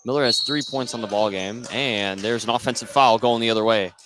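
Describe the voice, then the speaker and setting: A man's voice: mid-range voice, fairly even intonation, fairly quick, background noise. An American man doing play-by-play radio commentary of a basketball game, following the action in short running phrases. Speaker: play-by-play sports announcer. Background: basketball game in a gym.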